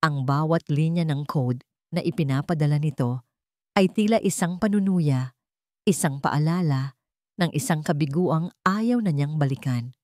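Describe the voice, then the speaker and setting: A woman reading a dramatic scripted story with a measured, suspenseful delivery. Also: low voice, mellow, dry recording, clean studio sound. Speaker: story narrator.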